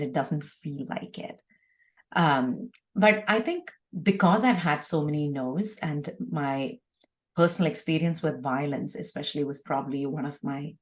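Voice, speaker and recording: Female interview guest, slightly low voice. Earnest, emphatic, reflective personal testimony delivered conversationally. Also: darkish tone. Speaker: guest, organisational leader.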